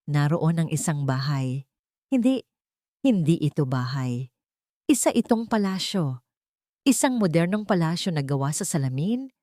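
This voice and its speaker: A woman's voice: fairly low pitch, darkish tone, no echo, studio quality. A woman reading a scripted dramatic story as its narrator.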